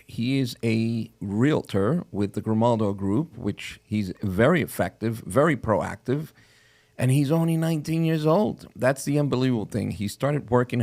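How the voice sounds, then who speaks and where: A man's voice: medium pitch, darkish tone. A man hosting a real estate show as a casual interview, with a joking, conversational manner.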